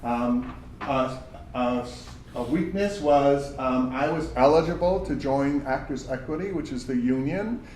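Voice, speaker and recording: A man's voice: medium pitch, measured pace, darkish tone. Man teaching a job search workshop. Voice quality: big voice. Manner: conversational, with examples from his own past. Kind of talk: workshop talk to job seekers. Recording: roomy audio from far off with faint hum.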